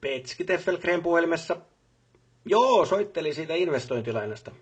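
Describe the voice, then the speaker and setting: A man's voice: on the high side, lively intonation, slightly rough. A man playing a busy, businesslike factory boss in a video game, talking colloquially and repeating short affirmations. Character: busy, brisk male factory boss on the job. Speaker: voice actor for a game character. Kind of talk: game voice lines, phone calls and workplace speeches.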